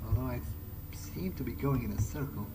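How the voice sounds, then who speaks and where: A man's voice: mid-range voice, a little fast, heavy background noise, natural voice. A man roleplaying a wary, earnest vampire game character, muttering his thoughts hesitantly in an everyday voice. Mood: wary, curious. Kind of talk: in-character game playthrough commentary. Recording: loud game music behind.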